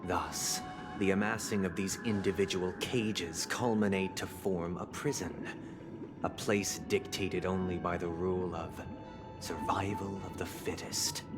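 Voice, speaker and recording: An actor playing a composed, idealistic visionary who preaches order in a measured, grandiose, condescending way for a video game. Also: male voice, low voice, fairly even intonation. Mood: calm, lofty. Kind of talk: dramatic game voice acting, philosophical monologue. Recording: studio voice under background music. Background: game music.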